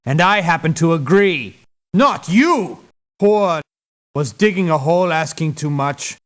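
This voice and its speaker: An actor voicing an exasperated, dismissive, sarcastic mercenary in a video game. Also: male voice, on the high side, expressive, measured pace, fairly bright tone. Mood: mocking. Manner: sarcastic, scornful. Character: exasperated, dismissive, sharp-tongued male mercenary. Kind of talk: video game character voice lines.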